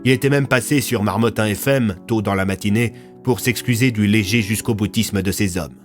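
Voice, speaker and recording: A man's voice: fairly low pitch, brisk, faint music behind. A man reading scripted narration for an audio fiction serial, in a storytelling delivery.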